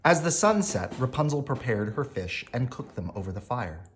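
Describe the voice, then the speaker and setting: A man reading a children's bedtime story aloud in an animated, emphatic way. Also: medium pitch, normal speed, slight background noise. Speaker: storybook reader.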